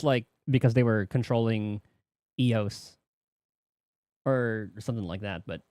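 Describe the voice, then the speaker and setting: A man's voice: medium pitch, darkish tone, clear, resonant tone, no echo. A man giving casual reaction commentary on a game as a streamer, talking into a close mic.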